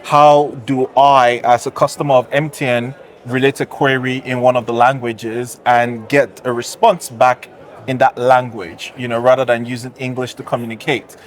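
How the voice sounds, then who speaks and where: Nigerian-born man, measured tech founder. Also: mid-range voice, flat delivery. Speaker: founder and tech CEO, guest. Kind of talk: conference interview conversation. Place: busy tech conference.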